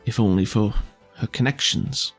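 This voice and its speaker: A cool and powerful casino boss lady, played by a man at a game table. The voice is low and creaky, the delivery measured and grave, with faint music behind it. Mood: guarded.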